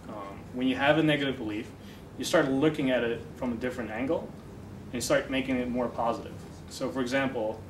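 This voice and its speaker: A young man who grew up in the Netherlands, a dating coach, explaining ideas in a relaxed interview with many fillers. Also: medium pitch. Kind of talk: casual interview talk. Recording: echoey room from far off, noisy.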